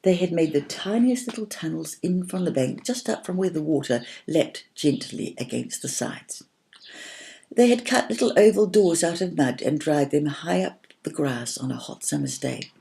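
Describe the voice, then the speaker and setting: A woman's voice: sits low, lively intonation, normal speed, creaky, dark tone. A woman voicing a firm, imaginative girl who tells a children's story patiently and dramatically. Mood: firm, wondering.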